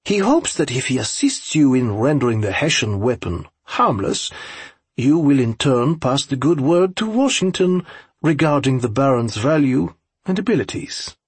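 A man's voice: mid-range voice, big pitch swings, moderate pace, breathy edge, no echo. A man voicing an earnest young European aide who interprets for his master in a formal, polite way, in an audiobook story.

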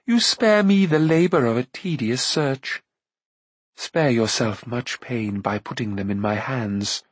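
Man voicing a cold, imperious enchantress, swinging high and low. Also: unhurried, husky, dry recording. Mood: silky, subtle. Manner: haughty, commanding, archaic formal speech. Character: imperious, cunning, cruel female enchantress, coldly threatening. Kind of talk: audiobook character acting.

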